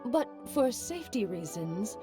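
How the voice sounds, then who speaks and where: Polite dutiful clerk in an anime game, fairly high voice, music behind her. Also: big pitch swings, measured pace. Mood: formal, attentive. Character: polite, dutiful female clerk serving a god. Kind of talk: scripted anime game voice acting.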